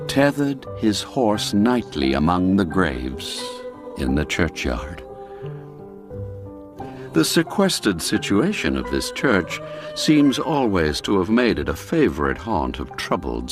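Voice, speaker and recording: A man reading a classic ghost story as an audiobook narrator, in a measured, dramatic storytelling manner. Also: sits low.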